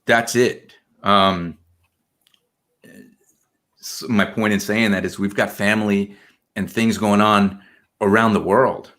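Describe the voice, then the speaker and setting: Man, 30s, from Argentina. Podcast guest. Lowish voice, easygoing storyteller, casual fillers throughout. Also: takes it slowly, pauses often. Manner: relaxed storytelling, many fillers like "man" and "you know". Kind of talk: casual conversational interview.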